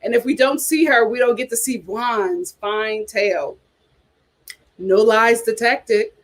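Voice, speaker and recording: Animated high-voiced woman chatting. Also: slow. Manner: animated, dramatic exclamations, reads comments aloud. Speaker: host reading viewer comments. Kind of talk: casual reality TV chat.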